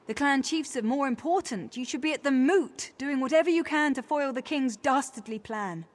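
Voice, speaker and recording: An actress voicing a proper, indignant orc high priestess in a fantasy game, formal, earnest and emphatic. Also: female voice, normal speed, close to an everyday voice. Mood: determined.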